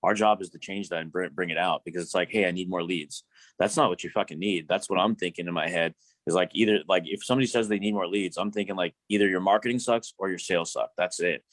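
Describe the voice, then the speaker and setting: Brief: low-voiced male business coach, blunt and swearing, dry close sound. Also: fast talker, a bit bright, no echo. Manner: blunt, profane, rambling with restarts. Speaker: business coach hosting a live Q&A. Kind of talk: casual live coaching talk.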